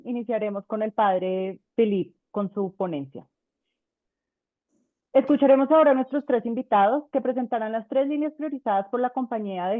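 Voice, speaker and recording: A woman's voice: on the high side, no echo. A woman moderating a webinar, formally reading out the introductions of the speakers over a video call. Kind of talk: scripted event introductions. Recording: tinny phone-like call audio, dry.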